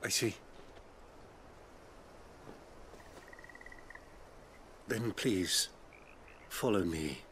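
An actor playing a gentle middle-aged priest with a British accent, speaking formally and compassionately in a video game. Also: male voice, low-pitched, animated, slow pace, slight background noise, full character voice. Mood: gentle, calm. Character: gentle, solemn middle-aged abbey priest tending the dying. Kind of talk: video game cutscene acting.

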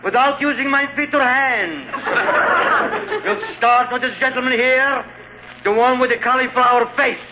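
An actor playing a bumbling, theatrical comic with a mock Russian accent, grandiose and over-polite, in 1940s radio comedy. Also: male voice, extremely high pitch, measured pace. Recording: noisy vintage radio recording, live audience.

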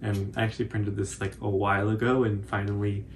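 Young man co-hosting a how-to, lowish voice with vocal fry, easygoing hesitant wording, slight background noise. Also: flat delivery, a little fast. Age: young adult. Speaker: cosplay tutorial co-host. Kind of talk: casual explanatory chat. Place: small furnished room indoors, on a couch.

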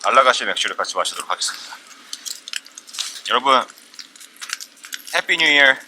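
A man with a medium-pitched voice narrates his camping trip in a casual, chatty way. He addresses viewers politely. The campsite recording carries clear background noise. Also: expressive, normal speed. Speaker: camping vlogger. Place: winter campsite, outdoors and in a tent. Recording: clear outdoor ambient noise.

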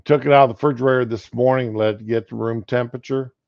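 A man's voice: mid-range voice, fairly even intonation, gravelly, no room sound. A man co-hosting a casual livestream chat over a video call, rambling with lots of fillers. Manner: slow, rambling, many fillers. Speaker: co-host. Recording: dull lo-fi call audio.

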